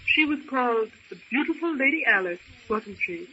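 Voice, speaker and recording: A woman's voice: on the high side, lively intonation, normal speed, pushed and bright. A woman acting a genteel, anxious young lady castaway in an old-time radio adventure serial, speaking with earnest, polite theatricality. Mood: hushed, concerned. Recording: dull, hissy old radio recording.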